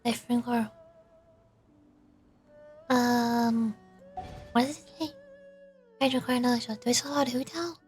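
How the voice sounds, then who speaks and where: A woman's voice: on the high side, normal speed, long pauses, darkish tone, faint music behind. A woman streaming a video game, giving casual commentary and thinking aloud in short bursts.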